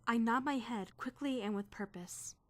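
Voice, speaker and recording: A woman's voice: on the high side, moderate pace, no echo, natural voice. A woman voicing an earnest, indignant teenage girl student who is rigidly rule-bound, speaking formally and emphatically in a dramatic visual novel fan dub. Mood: defiant. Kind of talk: dramatic first-person visual novel narration and dialogue.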